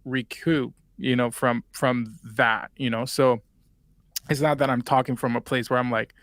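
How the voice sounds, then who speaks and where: A man's voice: medium pitch, level tone, normal speed, a bit bright, no echo, studio quality. A man in his twenties from Puerto Rico, with a Spanish accent, telling his story as an interview guest in a rambling way with many fillers. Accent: Puerto Rican Spanish. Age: 20s. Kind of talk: casual interview chat.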